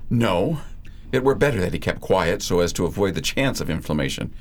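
A man's voice: low voice, expressive, a little fast. A man playing a formal, kindly, slightly hesitant country doctor in a period audio drama. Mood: reserved, awkward.

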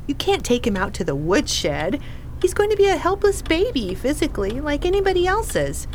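Dry pregnant wife, radio play, swingy pitch, a bit of background noise. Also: female voice, huge pitch swings, normal speed. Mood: dry, uneasy. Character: pregnant wife in labor, dry, practical, anxious. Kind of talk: radio drama acting.

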